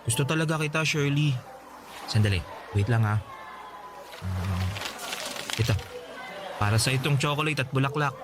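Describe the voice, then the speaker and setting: A man's voice: mid-range voice, expressive, normal speed, long pauses, over music, lightly acted. A man playing an earnest, lovesick teenage schoolboy in a radio drama, pleading and insistent. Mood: eager, tender. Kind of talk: Tagalog radio drama acting.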